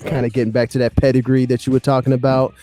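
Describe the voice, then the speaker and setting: A man's voice: medium pitch, flat delivery, fast talker, mellow. A man co-hosting a podcast, chatting informally with hip-hop slang. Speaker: podcast co-host. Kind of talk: casual chat.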